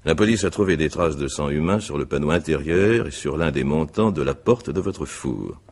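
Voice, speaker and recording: A methodical, courteous but insistent magistrate in a radio mystery. His voice is extremely deep and husky, with lively swings of intonation. The phrasing is formal and deliberate. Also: exaggerated voice. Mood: methodical, probing. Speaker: examining magistrate. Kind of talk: French radio mystery drama acting.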